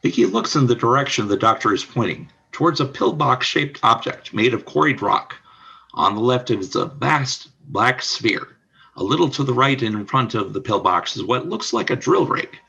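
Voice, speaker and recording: A man's voice: mid-range voice, lively intonation, normal speed, creaky, bright, forceful tone, slightly rough, lo-fi sound. A man reading scripted scene narration for a radio play, describing the action in the present tense.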